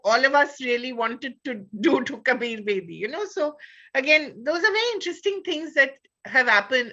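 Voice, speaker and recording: A woman with an Indian accent speaking as a panelist in an animated discussion, peppering her talk with "you know". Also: sits high, highs cut off.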